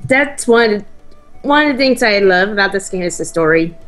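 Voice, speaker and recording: A woman co-hosting a casual let's play, chatting in a hesitant, halting way as she explains things. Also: mid-range voice, expressive, a bit bright. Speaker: gaming co-host. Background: faint game music.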